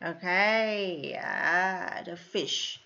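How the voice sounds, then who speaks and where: A woman teacher, drilling a young English class with slow, exaggerated repeat-after-me prompts and soft asides. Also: on the low side. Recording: dull lo-fi recording.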